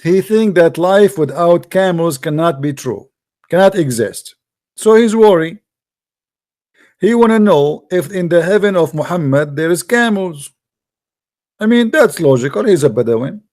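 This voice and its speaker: A man's voice: high voice, lively intonation, measured pace, darkish tone. A man hosting a live debate stream, lecturing in an emphatic, argumentative way. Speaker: livestream debate host. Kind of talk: lecturing religious debate talk.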